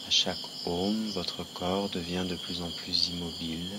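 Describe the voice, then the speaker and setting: A man guiding a meditation session in a calm, gentle, deliberate way, with pauses between his instructions. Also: deep voice, very noisy. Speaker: yoga nidra guide. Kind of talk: guided meditation. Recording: night insects and nature sounds behind voice. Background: crickets and insects at night.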